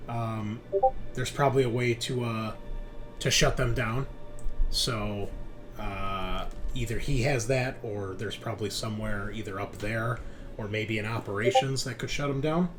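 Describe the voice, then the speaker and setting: A man's voice: on the low side, measured pace. Man running a tabletop game over music. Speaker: game master. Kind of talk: casual tabletop roleplaying game session.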